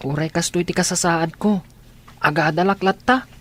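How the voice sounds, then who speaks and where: A woman's voice: on the low side, moderate pace, close to an everyday voice. A woman with an Ilocano Filipino accent playing an earnest, discouraged blind child in a radio drama, questioning plaintively. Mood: downhearted.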